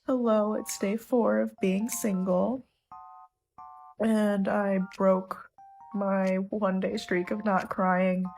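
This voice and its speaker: A woman speaks with wry, self-mocking humor, close to tears. Her voice is creaky and gravelly at an ordinary pitch, with faint music behind her. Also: steady tone, takes it slowly, a little mellow. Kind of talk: casual confessional vlog.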